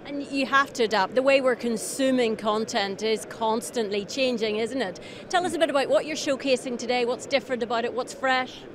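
A woman's voice: on the high side, a little fast, few pauses, crowd noise. A woman in her thirties presenting an on-location trade show interview with a handheld microphone. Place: busy exhibition hall.

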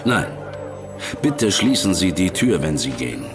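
A man playing a grave, secretive gentleman of authority in a German audio drama, terse and formal. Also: low-pitched, sing-song, unhurried, exaggerated voice. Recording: music behind the voice.

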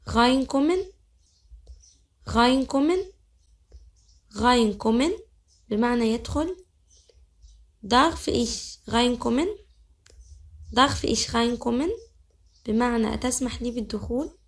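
A young woman with an Egyptian Arabic accent teaching vocabulary. She says each word slowly several times, then explains it quickly in Arabic. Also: on the high side. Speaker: language teacher. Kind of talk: vocabulary lesson.

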